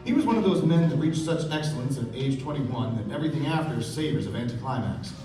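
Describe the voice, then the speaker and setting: A man playing a reflective, well-bred young narrator of the 1920s in a measured, literary stage delivery. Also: mid-range voice, animated, lightly acted. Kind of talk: scripted first-person stage narration. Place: stage of a small theatre. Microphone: far camera. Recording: echoey theatre from far off, music behind.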